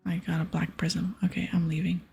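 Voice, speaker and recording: A woman doing ASMR game commentary, reading aloud and chatting casually in a breathy whisper. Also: fairly low pitch, little pitch movement, a little fast, mellow, faint music behind.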